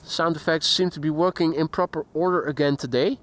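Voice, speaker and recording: A man's voice: on the high side, brisk. A man hosting a casual live stream outdoors, chatting with viewers and greeting them by name. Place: outdoors near an airport. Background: faint outdoor traffic and voices.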